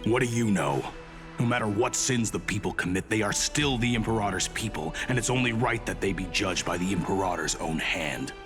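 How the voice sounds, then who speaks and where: A haughty officer of an empress's guard in a video game, played by a man. His voice is very low and his speech formal, proud and scornful, with clear music playing behind him. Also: fairly quick, close to an everyday voice. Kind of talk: video game character acting.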